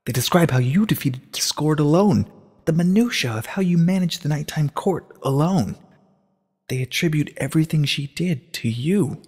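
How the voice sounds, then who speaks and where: A man's voice: mid-range voice, a little mellow, breathy edge, long reverb tail, pitched above the performer's own voice. A man voicing an anxious, earnest young female pony princess in a story reading, urgent and questioning. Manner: urgent, questioning, stammering at times. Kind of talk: dramatic reading of a short story.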